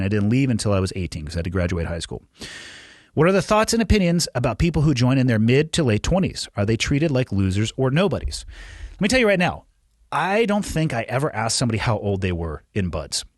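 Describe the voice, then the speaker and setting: A man's voice: mid-range voice, dry recording, studio quality. A man, hosting a podcast and giving blunt, profane advice while reading listener questions. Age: 40s. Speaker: podcast host. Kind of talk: solo Q&A advice segment.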